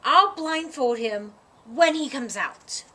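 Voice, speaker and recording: Lady reading as a little porcupine, blunt but helpful, kids story, high and lively. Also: slow, heavily acted.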